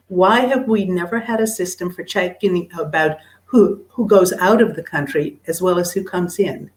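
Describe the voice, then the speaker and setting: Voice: mid-range voice, rough recording. A seminar host moderating a Q&A with a guest, speaking in a courteous, formal way.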